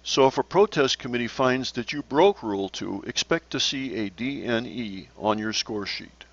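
A man narrating scripted slides as an instructor, in a measured, explanatory manner. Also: mid-range voice, lively intonation, highs cut off. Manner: measured, instructional, poses questions to the learner. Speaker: sailing instructor.

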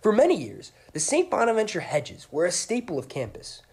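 A man's voice: high-pitched, wildly swooping pitch, a little fast, slightly rough. A young adult man with an American accent reading scripted narration as a student TV news reporter.

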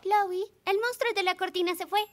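A woman's voice: extremely high pitch, fairly even intonation, moderate pace, a little hiss or hum. A woman voicing a brave, sweet, protective little big sister in a children's cartoon dub, earnest and reassuring.

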